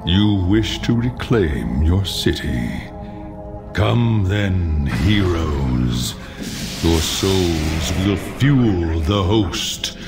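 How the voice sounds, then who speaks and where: An actor playing a sinister male demon dreadlord in a video game, gloating and theatrical with drawn-out menace. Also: sits low, expressive, loud music behind the voice, exaggerated voice. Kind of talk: video game villain acting.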